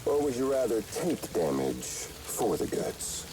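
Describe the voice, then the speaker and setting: Wildly swooping, taunting game villain. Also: male voice, medium pitch, sing-song, noisy background. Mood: menacing, taunting. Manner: taunting, drawn-out, theatrical. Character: sadistic, theatrical male terrorist villain. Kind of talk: dramatic game cutscene acting. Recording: loud rain and ambience behind. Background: rain.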